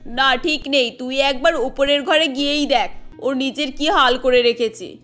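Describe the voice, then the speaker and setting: A woman voicing a gentle, concerned middle-aged mother-in-law who gives careful advice in a Bengali cartoon. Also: high-pitched, a little slow, faint music behind. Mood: stern, worried.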